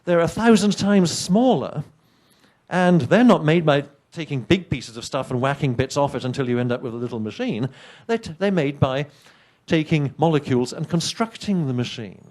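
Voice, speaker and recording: A middle-aged man with an English accent presents a science talk to an audience, chatty and full of wry asides. His voice sits on the higher side for a man. English is not his first language. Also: moderate pace, darkish tone. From England. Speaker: conference speaker.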